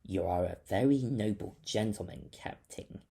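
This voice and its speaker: A man voicing a masked male creature with a slow, polite but menacing drawl. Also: fairly low pitch, creaky, warm tone, husky.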